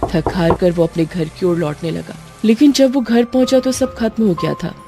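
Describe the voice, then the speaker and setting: A woman's voice: lowish voice, moderate pace. A woman reading a scripted story as a narrator, in a storytelling style. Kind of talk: scripted narration of a Hindi moral horror story. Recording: music under the voice.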